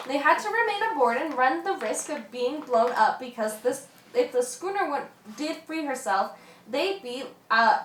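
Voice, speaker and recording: A woman's voice: high-pitched, animated, normal speed, a bit bright. A woman playing a good-humored, chiding sea captain telling a yarn in a radio play. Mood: storytelling. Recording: roomy, distant, slightly noisy recording.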